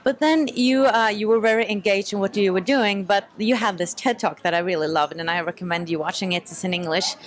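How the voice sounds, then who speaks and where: A woman's voice: medium pitch, brisk, hardly any pauses. A woman hosting an interview from a cafe, welcoming her guest and asking leading questions. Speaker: interview host. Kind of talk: hosted interview.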